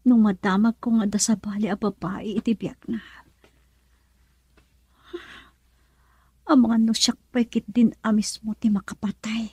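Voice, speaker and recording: A troubled young Filipino daughter in a radio drama. Her voice is high, soft and mellow with a lot of breath in it. She speaks plaintively and hesitantly, full of anguish. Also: level tone, moderate pace, breathy edge, no echo. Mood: anguished whispering. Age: young adult. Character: anguished, tearful young pregnant married daughter. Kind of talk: Ilocano radio drama acting.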